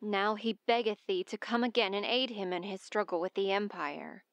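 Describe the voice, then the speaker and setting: A woman's voice: medium pitch, moderate pace, no echo, dull audio, lightly acted. A woman playing a noble young princess, formal and earnestly pleading, in a Shakespearean dramatic reading.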